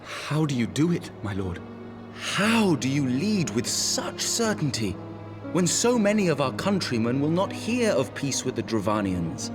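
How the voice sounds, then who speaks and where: A man with a British accent playing a troubled, earnest nobleman in a fantasy game, speaking formally and with halting passion. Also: mid-range voice, very animated, music in the background. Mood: troubled questioning. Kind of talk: dramatic fantasy game dialogue.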